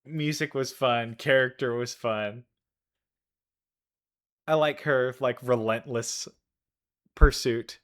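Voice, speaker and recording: A man's voice: medium pitch, slow, long pauses, fairly bright tone. A man giving casual reaction commentary as a video creator watching game trailers.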